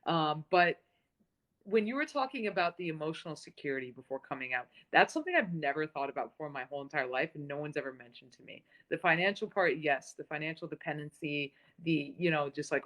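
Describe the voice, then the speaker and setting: Enthusiastic woman with a lowish voice. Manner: warm, enthusiastic, laughs often. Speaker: interviewer. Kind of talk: casual live-stream chat.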